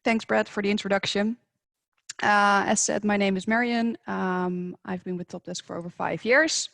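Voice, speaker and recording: A woman from the Netherlands with a Dutch accent, giving a conference presentation, measured and a little hesitant, with frequent fillers and restarts. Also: mid-range voice, steady tone, gravelly, a bit bright, no room sound, studio quality. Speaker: presenter at a professional webinar. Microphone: remote video call.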